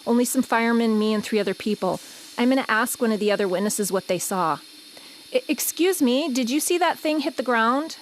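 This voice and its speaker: Woman acting high-voiced field reporter, noisy background. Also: lively intonation, brisk, close to an everyday voice. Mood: intrigued, reporting. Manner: conversational, descriptive, tentative. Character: female field reporter, descriptive and slightly uneasy. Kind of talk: radio drama acting as live on-scene report.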